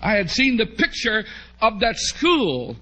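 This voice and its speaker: A middle-aged American man preaching, telling a humorous story to a congregation in an animated way with drawn-out emphasis. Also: high-pitched, lo-fi sound. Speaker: preacher, bishop. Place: large church hall, at a pulpit before a congregation. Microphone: podium microphone on a stand.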